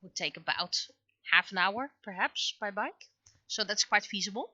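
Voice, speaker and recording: A woman chatting casually on a livestream as an artist at work, speaking haltingly as she thinks aloud. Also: mid-range voice, expressive, bright, forceful tone, no room sound, muffled recording.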